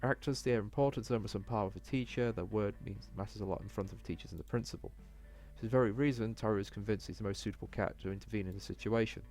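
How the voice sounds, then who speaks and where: A man with a fairly low voice narrates a visual novel as he plays it. He reads the text aloud with the odd stumble, and faint game music runs underneath. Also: racing, a little mellow. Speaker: let's player reading a game aloud.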